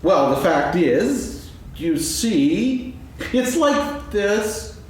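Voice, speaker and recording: In a very echoey hall, a woman plays an anxious little piglet in a children's story play. The delivery is slow and hesitant, with big swings in pitch. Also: very animated. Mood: nervous. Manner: hesitant, stalling. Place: on stage in a hall. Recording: very echoey hall, faint noise.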